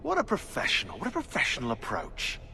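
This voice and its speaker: A man's voice: mid-range voice, wildly swooping pitch, quick pace, vocal fry, pushed and bright, long reverb tail, full character voice. An actor playing a snide, condescending male coworker in a video game, with a haughty, sarcastic delivery.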